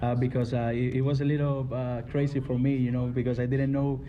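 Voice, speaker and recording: Guy athlete talking really fast in interview, dull sound, bit of noise. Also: medium pitch, level tone. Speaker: professional baseball pitcher. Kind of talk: unscripted interview answer.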